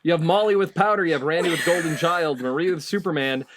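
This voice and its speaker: A man's voice: fairly high pitch, quick pace, background noise. A man hosting a quiz game, reading out clues like an announcer and repeating answers back amid casual banter. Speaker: game show host.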